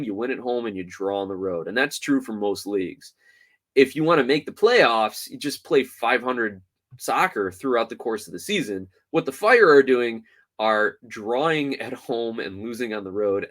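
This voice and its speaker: A man hosting a soccer podcast solo, talking through results and rumors in an animated, opinionated way. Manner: animated, opinionated, swings from loud to low.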